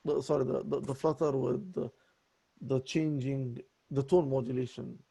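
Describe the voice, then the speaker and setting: A man in a voice lesson with a raspy voice, talking in an apologetic, halting way with many restarts. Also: medium pitch, fairly even intonation, dark tone. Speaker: voice student. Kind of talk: voice lesson conversation.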